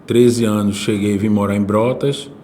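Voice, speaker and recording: A man's voice: fairly low pitch, fairly even intonation, moderate pace, warm tone, a little hiss or hum. A man from Salvador with a Bahian Brazilian accent, hosting a podcast in a casual, conversational way. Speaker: podcast host.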